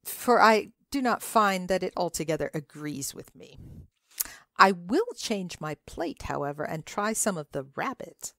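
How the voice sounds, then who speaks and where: A woman's voice: on the low side, expressive, a little slow, fairly bright tone, no room sound. A woman voicing a polite, squeamish male dinner guest who speaks hesitantly in a story. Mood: polite refusal. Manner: hesitant, fussy.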